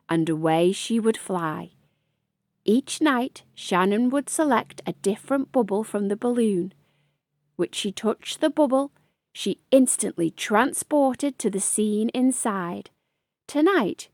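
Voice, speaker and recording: A woman reading a children's picture book aloud in a measured, deliberate, expressive storytelling style. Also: medium pitch, dry recording, studio quality. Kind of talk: scripted read-aloud storytelling for young children.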